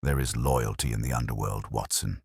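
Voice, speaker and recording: A man's voice: extremely low pitch, fairly even intonation, moderate pace, creaky, a little mellow, breathy edge, no echo, voice pushed down, heavily acted. A man voicing a cerebral detective who speaks in a grave, didactic way with formal Victorian phrasing. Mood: grim.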